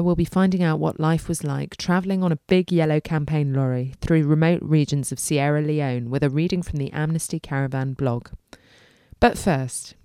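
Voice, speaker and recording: Woman podcast host, very low mellow voice, dry close sound, scripted presenting. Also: clean tone, dry recording.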